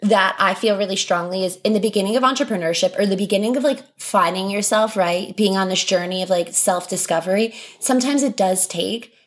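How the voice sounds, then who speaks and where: A young woman co-hosting a casual podcast, speaking to her listeners in a heartfelt, encouraging way. Her voice sits in a middle pitch. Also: a little fast, hardly any pauses. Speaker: podcast co-host. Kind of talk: casual chat.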